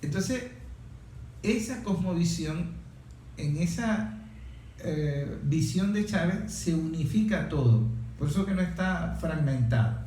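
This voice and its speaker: A man in a reflective political discussion as interviewer, speaking haltingly with repeated words and rhetorical questions. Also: on the high side, expressive, warm tone. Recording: very echoey room, distant mic, faint noise.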